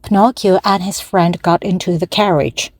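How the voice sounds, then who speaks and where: A woman reading a children's story aloud slowly and carefully for language learners to repeat. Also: fairly low pitch, steady tone, no room sound, studio quality. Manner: slow, careful, evenly paced reading.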